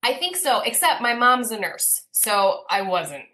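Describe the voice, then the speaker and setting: A woman's voice: fairly high pitch, sing-song, unhurried, bright, forceful tone. A nurse in her thirties as a podcast guest, telling stories playfully and laughing along. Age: 30s. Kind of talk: casual conversational interview.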